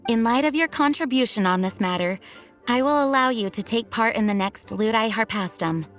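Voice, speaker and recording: An actress as a young female knight commander with a fairly high voice. She speaks in a formal, measured, authoritative way, stern yet caring, as in game dialogue over faint music. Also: lively intonation, lightly acted. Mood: composed, conceding. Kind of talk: video game voice acting.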